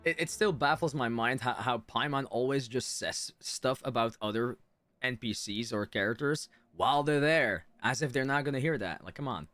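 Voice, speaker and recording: A man's voice: mid-range voice, normal speed, fairly bright tone, faint music behind. A man giving casual live commentary on a game, playful and reactive, with muttered asides. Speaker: game streamer.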